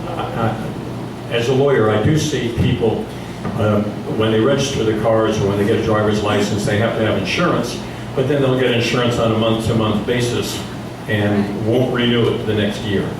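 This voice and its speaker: A man, a lawyer, asking a question from the audience at a community meeting, measured with a few stammers. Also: fairly low pitch, steady tone. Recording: echoey room from far off, noisy.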